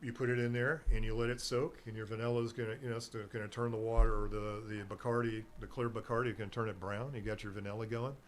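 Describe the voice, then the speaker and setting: A man's voice: lowish voice, level tone, fast talker, hardly any pauses, a little hiss or hum. A middle-aged man chatting casually as a guest on a local talk show, rambling and meandering, laughing easily. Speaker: guest, herbal nutrition seller.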